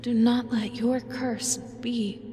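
A woman playing a grave, commanding moon goddess in a fantasy audio drama, whispery, solemn and halting, as if pronouncing prophecy. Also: on the high side, little pitch movement, warm tone, a little hiss or hum. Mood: warning.

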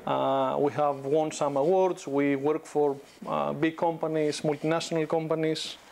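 A man's voice: fairly high pitch, normal speed, vocal fry. A man in his thirties from Greece, giving a conversational guest lecture in a university classroom on a lapel mic, with many restarts. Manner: relaxed, conversational, frequent restarts and fillers. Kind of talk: informal business lecture.

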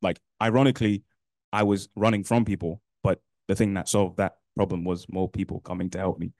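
A man's voice: deep voice, steady tone, a little fast, a little mellow, no room sound. A young man speaking casually on a university panel, peppering his talk with "like". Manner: casual, lots of "like". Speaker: panelist, alumnus. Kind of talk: panel discussion. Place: university classroom, indoors.

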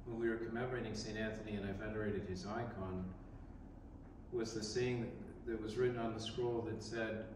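A man in his thirties, an Orthodox priest, giving a reflective sermon with pauses, recorded from a distance in a large church. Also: fairly low pitch, steady tone. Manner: slow, reflective, with pauses and restarts. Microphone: distant camera in the congregation. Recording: echoey church from far off, background noise.

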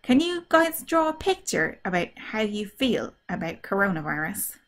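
A woman drama teacher reading a story aloud to children, asking them simple questions in an animated, child-directed way. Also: medium pitch, normal speed. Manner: animated, simple child-directed talk, acting out feelings and sounds.

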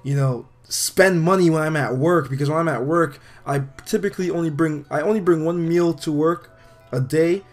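Hoarse young man vlogging in a gym. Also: on the high side, normal speed, faint music behind. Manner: chatty, rambling, with laughs. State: hoarse, losing his voice. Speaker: fitness vlogger and trainer. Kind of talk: casual workout vlog talk. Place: gym, indoors.

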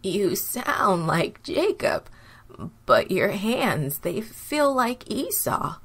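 A woman voicing a frail, solemn old father in a children's Bible story, with drawn-out, weighty, deliberate speech. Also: medium pitch, sing-song, fairly bright tone, husky, voice pushed up, heavily acted. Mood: suspicious.

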